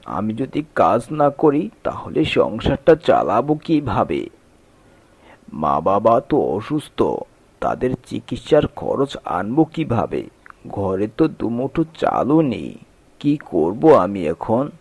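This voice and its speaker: A man's voice: fairly low pitch, sing-song, slow pace, creaky, a little mellow, breathy edge, no room sound, exaggerated voice. A man voicing a weary, poor, honest village day-labourer in a cartoon fairy tale, plaintive and earnest. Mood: despairing.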